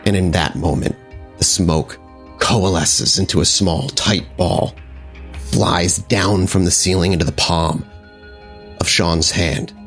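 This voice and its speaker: A man narrating a tabletop vampire game as its game master, in a dramatic, halting storytelling manner. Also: low voice, fairly even intonation, creaky, fairly bright tone, soft background music.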